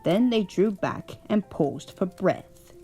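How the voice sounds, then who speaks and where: A woman putting on a husky old English granny. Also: medium pitch, animated, slow, warm tone, faint music behind. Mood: cozy. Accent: put-on English. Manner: doting, chuckling, fussing over a grandchild. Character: kindly elderly English grandmother storyteller. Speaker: storyteller. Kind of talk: bedtime story reading.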